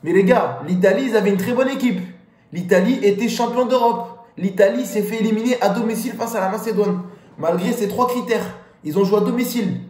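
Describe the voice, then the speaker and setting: A man's voice: high voice, fairly quick. A man giving a casual, slangy pre-match football rant as host of his channel. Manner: animated, slangy street talk. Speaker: football YouTuber. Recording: echoey room.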